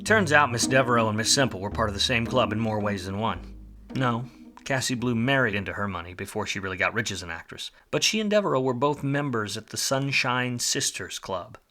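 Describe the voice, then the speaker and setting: Bright, forceful 1940s noir detective acted by a man. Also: on the low side. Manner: wry, smooth-talking. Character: hard-boiled 1940s Hollywood private detective, wry and self-deprecating. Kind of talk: 1940s radio noir acting and first-person narration.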